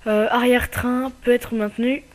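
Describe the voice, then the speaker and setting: A man's voice: very high voice, little pitch movement. A man with a slight cold giving casual, hesitant commentary over his own gameplay as channel host. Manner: hesitant, many filler pauses.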